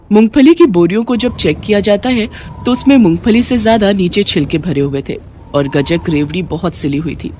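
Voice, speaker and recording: A woman's voice: mid-range voice, a little mellow, a little hiss or hum. A woman reading a scripted moral story as its narrator. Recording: music bed behind the voice.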